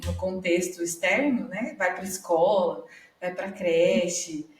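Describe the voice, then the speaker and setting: A woman's voice: lowish voice, takes it slowly, roomy sound, recorded from a distance. A Brazilian woman, a psychologist, explaining things in a conversational way, with many hesitations and tag questions. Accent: Brazilian Portuguese. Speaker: psychologist guest. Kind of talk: conversational video call discussion.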